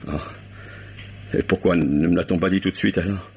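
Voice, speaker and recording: Agitated murder suspect; deep, husky, muffled old-radio sound. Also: male voice, fairly even intonation, moderate pace, darkish tone. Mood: indignant. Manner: agitated, bitter and sarcastic, breaks off mid-sentence. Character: anguished male stage actor accused of murder. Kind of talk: 1950s French radio drama acting. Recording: dull lo-fi old radio broadcast.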